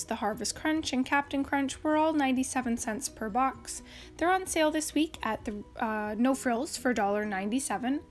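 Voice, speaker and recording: A high-pitched woman talks through her grocery haul in a brisk, upbeat voice-over, rattling off prices, coupons and cashback. Soft music plays faintly under her voice. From Canada. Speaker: couponing channel host. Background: faint background music.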